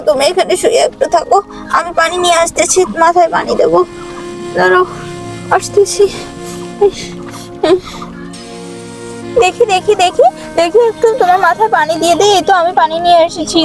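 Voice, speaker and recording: Very high-pitched dramatic village wife acted by a woman. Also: steady tone, quick pace. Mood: worried, caring. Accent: rural Bangladeshi. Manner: wailing, repetitive, exclamatory. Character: dramatic village wife doll, fretful and sulky. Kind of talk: high-pitched puppet-cartoon dialogue. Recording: loud music over the voice.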